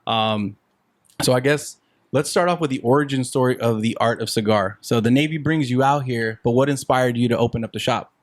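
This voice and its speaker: A man's voice: mid-range voice, fairly quick, dry recording, studio quality. A young adult man hosting a casual podcast interview in a cigar shop, talking in a laid-back, friendly way and mixing in Spanish words. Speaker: podcast host.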